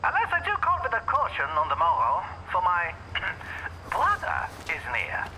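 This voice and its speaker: An actor playing a playful, cryptic supernatural ally who teases in flowery, archaic phrases over a phone in an audio drama. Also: male voice, high voice, wildly swooping pitch, measured pace, pushed and bright, slight background noise, exaggerated voice, poor audio. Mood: playful, teasing. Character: playful, theatrical, cryptic male demigod-kin ally on phone. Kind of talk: audio roleplay drama acting.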